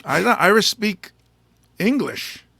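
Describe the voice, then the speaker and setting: Man, host, casual interview chat, playful, halting with fillers, gravelly voice, bouncy pitch. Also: big pitch swings, sharp tone, no echo. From United States. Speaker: podcast host.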